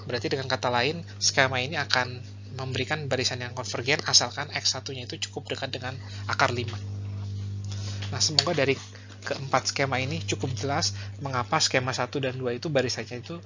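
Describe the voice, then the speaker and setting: A young man giving a relaxed math lecture over slides, thinking aloud with fillers and quick self-corrections. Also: mid-range voice, dull audio, a little hiss or hum.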